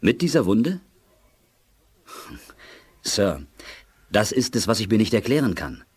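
A probing army doctor in a radio play. His male voice is husky and lowish, moving expressively as he questions with deliberation, in a dry recording. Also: big pitch swings, a little slow, no echo, exaggerated voice. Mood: puzzled, probing. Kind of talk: German audio drama acting.

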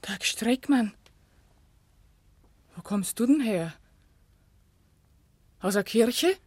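A woman's voice: a little high, lively intonation, drawn-out words, darkish tone, breathy edge, no room sound, exaggerated voice. An actress playing an earnest, plain-spoken young village working woman with a Silesian accent in a German radio play. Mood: curt, defiant.

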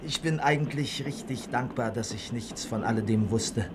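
A man's voice: mid-range voice, normal speed, noisy background, natural voice. A man acting in a radio play as a detective's curious, good-natured companion, speaking in a conversational, questioning way. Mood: relieved, amused. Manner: inquisitive, conversational.

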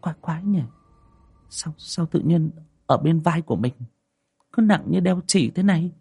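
A man's voice: on the high side, animated, normal speed, vocal fry, breathy edge, no room sound, pitched above the performer's own voice, exaggerated voice. A man voicing a timid young wife who murmurs and stammers. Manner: hushed, stammering.

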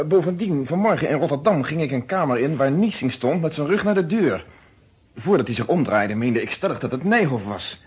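A man acting a composed, principled police inspector in an old radio drama, speaking in a measured, courteous and authoritative way. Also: mid-range voice, husky. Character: composed, principled male police inspector, friendly but firm. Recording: dull, band-limited old radio recording.

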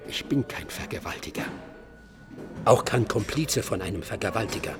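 Actor as defensive, bitter bar owner in echoing room. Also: male voice, fairly low pitch, lively intonation, moderate pace, music in the background, lightly acted. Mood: agitated, self-justifying. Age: middle-aged. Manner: evasive, self-justifying, coarse. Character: defensive, bitter bar-owner father under police questioning. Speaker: actor in a crime radio play. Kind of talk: German radio drama acting.

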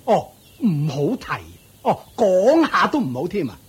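A man's voice: high-pitched, very animated, breathy edge, full character voice. A man with a Hong Kong Cantonese accent playing a scornful, nagging uncle who runs a shop, mocking and belittling in a radio drama. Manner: mocking, belittling, drawn-out taunts.

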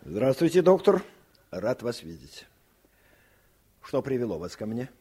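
Dark, husky gendarme colonel. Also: male voice, mid-range voice, big pitch swings. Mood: formally polite. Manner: formal, measured, authoritative. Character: cold, suave gendarme colonel, imperious official. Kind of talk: Soviet radio drama acting.

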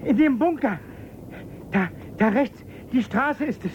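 A man playing a desperate, gruff fugitive in a radio drama, urgent and agitated, in a muffled, noisy recording. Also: very high-pitched, animated, unhurried. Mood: panicked. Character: desperate, gruff man fleeing and urging his companion on.

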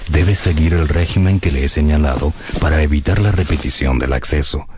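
An actor playing a calm, formal, reassuring country doctor in a radio drama. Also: male voice, extremely low pitch, a little fast, noisy background.

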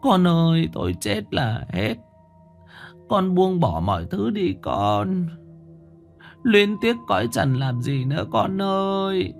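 A man voicing a grieving grandmother over eighty, pleading in a high, trembling voice. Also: big pitch swings, takes it slowly, gravelly, faint music behind, full character voice.

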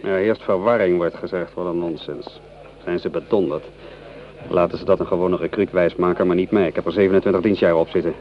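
A muffled radio play recording of an older veteran army lieutenant played by a man. He is proud and gruff, commanding in tone, and given to indignant bluster. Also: sits low, fairly even intonation, fast talker, lightly acted. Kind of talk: radio drama acting.

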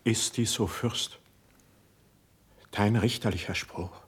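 A proud, wounded poet declaims solemn verse in a classical radio play. The voice is husky and fairly low, very slow and halting, weighted with feeling. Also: male voice, steady tone, full character voice. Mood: stunned pride. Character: proud, wounded poet submitting bitterly to his prince.

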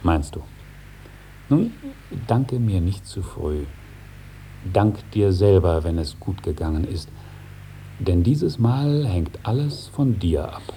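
Casting: a solemn, sly old dragon, very soft dark timbre, widely varied intonation, old radio drama with faint hiss. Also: male voice, low-pitched, lively intonation, full character voice. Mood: wry, wistful. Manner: measured, formal, admonishing. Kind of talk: 1950s German radio play acting. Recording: faintly hissy 1950s radio recording.

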